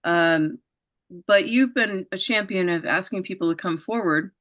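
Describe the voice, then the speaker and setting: A woman's voice: on the low side, unhurried, dull audio. Earnest woman host, rambling introductions. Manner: earnest, rambling setup with many fillers. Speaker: show host. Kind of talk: interview introduction and questions.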